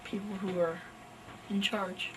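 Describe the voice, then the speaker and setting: A woman's voice: medium pitch, little pitch movement, unhurried, over music. A woman in an audience giving informal answers during a discussion at a live event. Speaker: audience member answering questions. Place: live event in a library room. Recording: distant noisy room recording.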